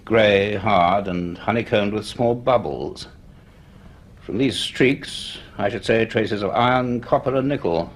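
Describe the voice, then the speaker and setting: An actor playing an earnest, commanding British university professor in a radio drama, speaking in a measured, deliberate, authoritative way. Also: male voice, lowish voice. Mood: measured, grave. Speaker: actor playing the lead and narrator. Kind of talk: radio drama dialogue and first-person narration.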